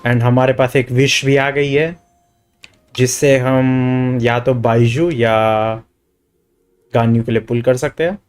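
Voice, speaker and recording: Very fast-talking Indian man streaming a game. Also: medium pitch, steady tone, clean tone. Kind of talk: casual livestream gameplay commentary. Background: game music.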